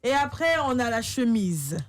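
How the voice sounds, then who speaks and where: A woman co-hosting a radio show, upbeat and counting up prices out loud. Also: a little high, normal speed, soft background music. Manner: lively, tallies prices out loud. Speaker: radio co-host. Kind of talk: casual radio chat.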